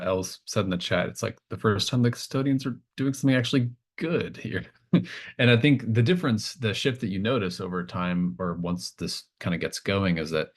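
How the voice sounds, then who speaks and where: A man with a low voice runs a tabletop roleplaying game. He narrates casually and off the cuff, halting as he thinks aloud, and encourages his players. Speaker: game master.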